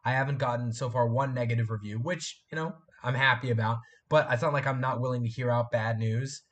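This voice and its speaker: An eighteen-year-old man hosting a casual live stream from a room at home, hoarse and congested from a cold, rambling and repeating himself as he chats with viewers. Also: mid-range voice, fairly even intonation, a little fast, fairly bright tone. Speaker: live stream host and fan film maker.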